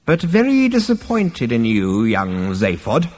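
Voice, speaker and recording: A man voicing an ancient, scornful ghostly alien great-grandfather from a comic science fiction story, screechy and rasping, snappish and pompous. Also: mid-range voice, wildly swooping pitch, a little mellow, big-room sound. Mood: withering disappointment. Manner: snappish, drawn-out, withering, pompous.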